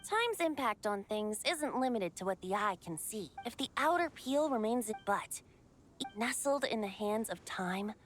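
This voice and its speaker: A woman's voice: mid-range voice, animated, unhurried, close to an everyday voice. A woman playing a youthful male bard and wind god in a video game, with a light boyish voice and a lyrical, reassuring, theatrical way of talking. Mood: earnest, lyrical. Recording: game music behind the voice. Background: game music.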